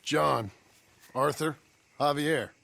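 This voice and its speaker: American outlaw chief, middle-aged, gravelly, ingratiating, theatrical, video game, light noise. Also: male voice, a little high, slow, pushed and bright, full character voice. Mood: wary. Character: smooth-talking middle-aged male outlaw boss, shrewd and folksy. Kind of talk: video game cutscene acting.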